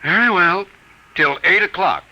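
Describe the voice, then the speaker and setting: A man playing a stern but fond suburban father in a 1950s radio drama, with brisk authority and dry scepticism. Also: high voice, bright, forceful tone, exaggerated voice. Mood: sceptical. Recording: noisy vintage 1950s radio recording.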